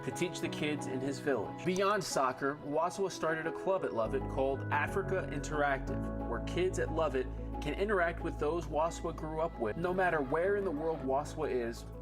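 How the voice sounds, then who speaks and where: A man's voice: a little high, normal speed. Man narrating a news feature over music. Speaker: TV news reporter.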